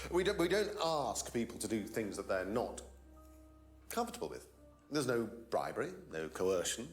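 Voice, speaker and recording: An evasive course leader being questioned in a game drama. He hedges and turns testy when pressed, his mid-pitched voice moving up and down freely. Faint music plays behind him. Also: big pitch swings, moderate pace. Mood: guarded, evasive. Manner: defensive, hedging. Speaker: actor in a mystery game. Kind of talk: live-action game drama dialogue.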